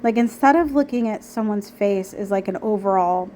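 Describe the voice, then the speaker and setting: A young woman talking casually about her painting, a bit nasal and raspy from a cold, chatty and self-deprecating with lots of ums and likes. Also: mid-range voice, normal speed, dark tone, slight background noise. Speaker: art vlogger. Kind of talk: casual narration over painting footage.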